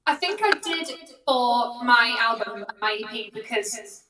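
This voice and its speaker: A young woman with a Liverpool accent, chatting as a guest songwriter in a soft, giggly way with plenty of ums. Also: fairly high pitch, lively intonation, unhurried, reverberant, far from the mic, noisy background. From near Liverpool, England. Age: young adult. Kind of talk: casual interview chat.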